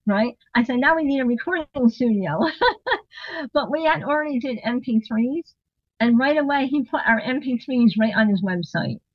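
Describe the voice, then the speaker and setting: A woman guest spins an animated story, acting out the dialogue and laughing along. Her voice sits fairly high. The sound is dry and a little dull, as if coming over a remote line. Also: normal speed, no echo. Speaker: interview guest, drummer and singer. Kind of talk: storytelling in a casual interview.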